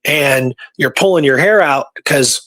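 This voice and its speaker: A man's voice: medium pitch, unhurried, sharp tone, no echo. A man co-hosting a casual history discussion, speaking emphatically and repeating points for stress. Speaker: co-host of a history talk show.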